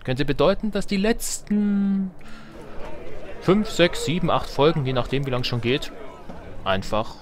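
A man's voice: a little high, lively intonation, measured pace, pauses often, noisy background. A man giving casual, unscripted let's play commentary on a video game, rambling into self-justifying asides. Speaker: gaming YouTuber, let's player. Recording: game music behind the voice. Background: video game music.

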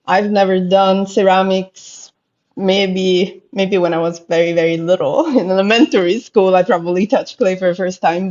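A woman's voice: medium pitch, a little mellow. A woman from Italy with an Italian accent, a pottery artist telling her story in an interview, thoughtful and sometimes searching for words. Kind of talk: casual interview chat. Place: indoor room with bookshelves. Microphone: headphones on a video call. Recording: slightly dull lo-fi call audio.

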